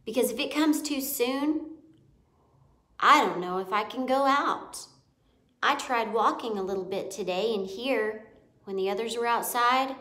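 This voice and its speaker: A thoughtful young mouse boy from a storybook , played by a woman. He talks calmly and seriously at a slow pace, in an echoey room. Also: fairly high pitch.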